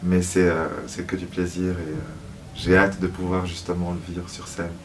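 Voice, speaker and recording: A man's voice: low voice, monotone, normal speed, recorded from a distance, slight background noise. A man giving reflective interview answers as a guest, hesitant and searching for words. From Switzerland (Lausanne), born in Paris. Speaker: musical theatre actor, interview guest.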